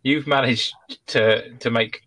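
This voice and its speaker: A man's voice: mid-range voice, steady tone, slow, sharp tone, low-quality recording. A British man co-hosting a podcast with casual, sweary comic banter and jokey storytelling. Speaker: podcast co-host.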